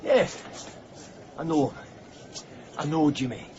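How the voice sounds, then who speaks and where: A man's voice: on the high side, takes it slowly, a little mellow. A man playing a sly, wary Scottish street beggar in a radio comedy, cagey and wheedling. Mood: sly, amused. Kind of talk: radio comedy drama acting. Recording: dull radio recording with background noise.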